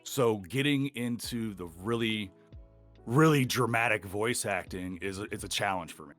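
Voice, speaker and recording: A middle-aged man with a smoker's slightly gravelly voice, chatting bluntly and casually as an interview guest. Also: on the low side, moderate pace, a bit bright, soft background music. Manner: blunt, rambling, sweary. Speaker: voice actor being interviewed. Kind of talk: casual podcast interview chat.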